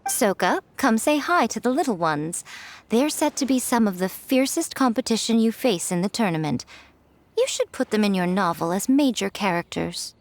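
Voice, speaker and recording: A woman voicing a sly, playful adult editor who teases others in a smooth, amused manner in a video game. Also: mid-range voice, expressive, moderate pace, close to an everyday voice. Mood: teasing. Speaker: light novel editor.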